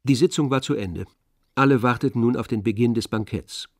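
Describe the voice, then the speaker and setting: An actor as a composed, observant narrator reading literary prose in a radio play. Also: male voice, medium pitch, brisk, background noise, lightly acted. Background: music.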